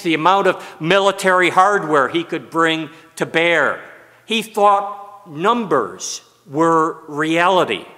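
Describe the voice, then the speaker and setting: An older American pastor preaches slowly and deliberately from the pulpit, his voice bright and fairly high for a man. A long church reverb trails after his words. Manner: slow, expressive preaching with broad hand gestures. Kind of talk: sermon. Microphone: pulpit mic.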